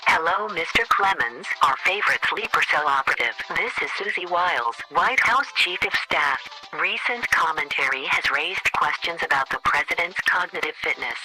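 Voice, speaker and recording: A man playing a coolly condescending female government official, leaving a formal, deadpan bureaucratic voicemail in a radio comedy. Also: on the high side, moderate pace, poor audio. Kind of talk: scripted comedy radio monologue.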